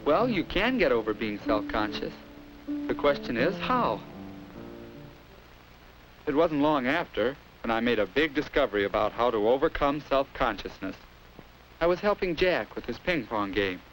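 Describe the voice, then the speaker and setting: Earnest young American narrator, lively pitch. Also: male voice, normal speed, soft background music, rough recording. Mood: reflective. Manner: earnest, confiding first-person storytelling. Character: earnest self-conscious American schoolboy recalling his troubles. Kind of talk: 1950s educational film narration. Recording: hissy 1950s film soundtrack.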